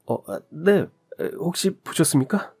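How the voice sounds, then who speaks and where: A man's voice: fairly high pitch, expressive, unhurried, vocal fry, a little mellow, husky, soft background music, voice pushed up, heavily acted. A man voicing a stingy young male army lieutenant who answers meekly and apologetically. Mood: sheepish.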